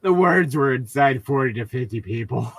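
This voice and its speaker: A man's voice: medium pitch, lively intonation, moderate pace, no room sound. A man reading fiction aloud and mocking it with profane, incredulous, joking commentary. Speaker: host of a fanfiction reading show.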